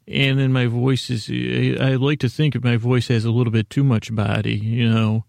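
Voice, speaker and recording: A man hosting a sleep podcast, delivering a meandering, halting bedtime monologue full of self-interruptions and deadpan, gently silly tangents. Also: mid-range voice, creaky, dry recording. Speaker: podcast host.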